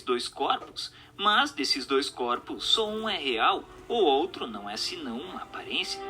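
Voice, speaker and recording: A man reading a book aloud as narrator, in a formal, measured, didactic way. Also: on the high side. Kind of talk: scripted narration, audiobook reading.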